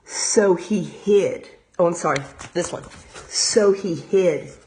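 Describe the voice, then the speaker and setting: An American woman reading a storybook aloud as a teacher of young children, expressive and pausing to explain in simple asides. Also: lowish voice, a little hiss or hum, low-quality recording.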